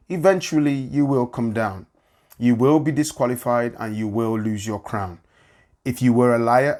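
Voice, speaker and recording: A man delivering scripted spoken word styled as an airline boarding announcement, in a measured, deliberate, preaching way. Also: mid-range voice, a little mellow.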